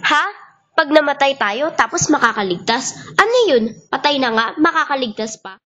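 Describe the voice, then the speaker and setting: A woman's voice: high voice, moderate pace, a bit bright, muffled recording. A young woman playing a feisty, boastful, hot-tempered teenage girl in an amateur radio drama, with a sassy, confrontational delivery. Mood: exasperated.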